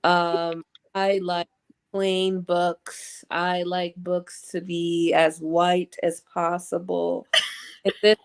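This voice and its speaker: Female panel guest, low clear voice, casual, wryly playful. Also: little pitch movement. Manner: deliberate, wry, playful. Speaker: panel guest on a book live show. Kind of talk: casual chat.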